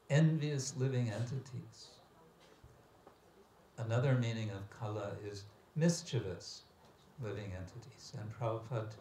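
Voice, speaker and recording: Slow, deliberate male lecturer in echoey room. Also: mid-range voice, a little mellow. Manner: deliberate, emphatic phrasing with dramatic pauses and questions to listeners. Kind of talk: scriptural lecture, reading aloud with commentary. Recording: echoey room with background hiss and ambience.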